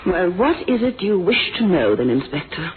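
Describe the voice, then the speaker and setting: An actress playing a guarded, genteel widow under police questioning in a radio mystery, poised and evasive. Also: female voice, fairly low pitch, big pitch swings, normal speed, breathy edge, full character voice. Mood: uneasy, affronted. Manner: poised, evasive, turning clipped and defiant. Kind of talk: 1970s radio mystery drama acting. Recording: muffled, noisy old radio recording.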